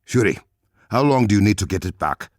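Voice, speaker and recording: Man performing as regal game warrior king, African accent, gravelly, widely swinging intonation, authoritative, measured formal diction, close dry sound. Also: lowish voice, animated, no echo, close to an everyday voice. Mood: focused, grave. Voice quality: gravelly, husky. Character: regal, commanding warrior king, stern and proud, adult man. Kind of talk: video game voice acting.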